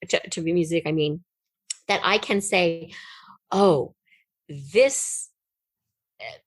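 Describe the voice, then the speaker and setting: A woman's voice: on the low side, no room sound. American woman chatting, close dry sound. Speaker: writer and podcast guest. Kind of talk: conversational interview storytelling.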